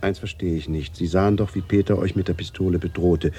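An actor playing a quiet middle-aged man in a German radio drama, questioning soberly in a raspy, breathy voice. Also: low voice, fairly even intonation, brisk, faint music behind. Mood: probing. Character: quiet, probing middle-aged man seeking his family's truth.

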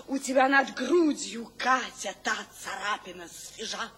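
A woman's voice: fairly high pitch, a little slow, fairly bright tone. An actress in a radio play as a woman theatrically reciting rowdy, taunting verse.

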